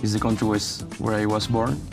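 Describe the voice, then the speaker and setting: A young man from Venezuela, a university student answering interview questions in halting English and saying "you know" often. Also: on the low side, little pitch movement, creaky, over music. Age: young adult. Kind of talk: casual interview.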